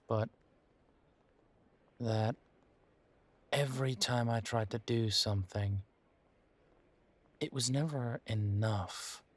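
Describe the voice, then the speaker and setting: Low, slow-talking wry boyfriend played by a man. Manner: hesitant, wry, casual, with trailing pauses. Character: weary, wry male werewolf boyfriend estranged from his family. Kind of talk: intimate roleplay audio drama, conversational monologue.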